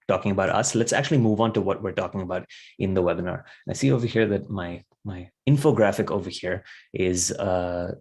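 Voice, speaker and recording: A man's voice: on the low side, darkish tone. A young man hosting a live marketing webinar, chatty and rambling, full of fillers and restarts, speaking into a computer mic. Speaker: webinar host, content marketer.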